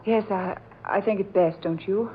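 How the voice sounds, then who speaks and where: An actress playing a gentle, troubled young bride-to-be, earnest and plaintive in 1940s radio drama style. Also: female voice, mid-range voice, normal speed, a little hiss or hum, natural voice. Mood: sorrowful. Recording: dull vintage radio drama recording. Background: music.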